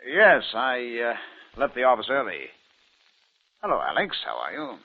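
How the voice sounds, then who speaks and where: A man's voice: mid-range voice, huge pitch swings, measured pace, creaky, full character voice. An actor playing a boastful, scheming businessman and smug killer in an old radio drama. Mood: hearty, suspicious. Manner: boastful, self-satisfied, theatrical. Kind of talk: 1950s radio drama acting. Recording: muffled vintage radio broadcast.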